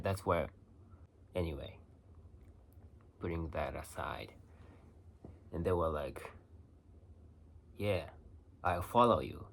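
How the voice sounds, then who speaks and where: Slow, low-voiced Japanese man vlogging. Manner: halting, restarts phrases, lots of "you know". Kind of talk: casual vlog talk.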